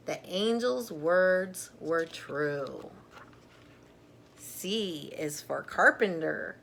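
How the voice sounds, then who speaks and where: A middle-aged woman reads a storybook to children in a slightly low voice. Her delivery is slow, gentle and teacherly, with chatty asides. There is a little noise behind her. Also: gravelly. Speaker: storyteller reading a children's book. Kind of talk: read-aloud picture book with chatty asides.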